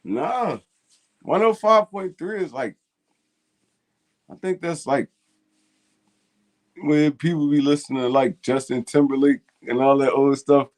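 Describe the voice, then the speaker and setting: A man's voice: fairly high pitch, measured pace, pauses often, a little mellow. A man, co-hosting a fan call-in show in casual, slangy chat and laughing often. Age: 20s.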